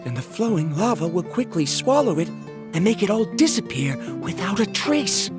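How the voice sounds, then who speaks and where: A man's voice: sits high, big pitch swings, normal speed. An actor playing a disheartened young male scholar, analytical and doubtful, in a video game. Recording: game audio with background music.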